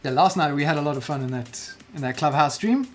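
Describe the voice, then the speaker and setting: A man's voice: fairly high pitch, animated, fast, soft background music. A South African man chatting casually while livestreaming a digital painting, muttering self-deprecating remarks to himself as he works.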